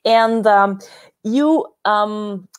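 A woman's voice: medium pitch, drawn-out words, clean tone. A woman hosting a casual conversational interview in a chatty, effusive way.

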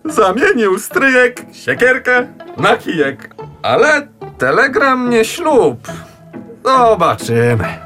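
A young actor playing a hopelessly indecisive young gentleman who hesitates and stammers in a radio play. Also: very high-pitched, sing-song, very slow, soft background music, heavily acted. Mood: hesitant. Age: young adult. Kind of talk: radio drama acting.

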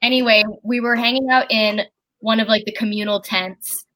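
A speaker with a very high voice, heard by a tagger as a woman, sits at home for a casual chat. The tone is bright and a bit above the usual pitch. They ramble and stop often, filling gaps with restarts and fillers. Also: steady tone, normal speed, rough recording. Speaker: guest. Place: at home with a candle lit.

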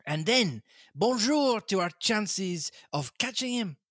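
A man's voice: sits high, lively intonation, unhurried, a bit bright, no echo, studio quality, pitched above the performer's own voice, full character voice. A man voicing a fussy elderly male detective with a put-on French accent, coaxing and ending his points with a questioning hmm. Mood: explaining.

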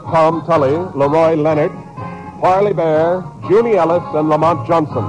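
A male American announcer with a somewhat high voice reads formally over prominent music. The style is scripted 1950s network radio, on an old recording. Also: poor audio. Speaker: program announcer.

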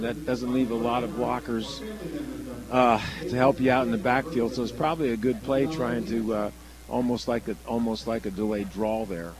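A man with a medium-pitched voice gives color commentary on a live radio football broadcast. He explains plays conversationally, haltingly, often backing up to restart a phrase. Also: poor audio.

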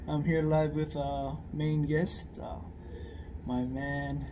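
A man's voice: on the high side, little pitch movement, slow pace, dark tone. A man hosting a casual podcast interview, asking questions and giving short encouraging replies. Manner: friendly, with short encouraging backchannels. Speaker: podcast host. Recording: clear background noise.

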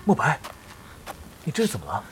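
A man playing a gentle, caring village schoolteacher who pleads earnestly in a Chinese period audio drama. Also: medium pitch, huge pitch swings, fairly quick, slight background noise. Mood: pleading.

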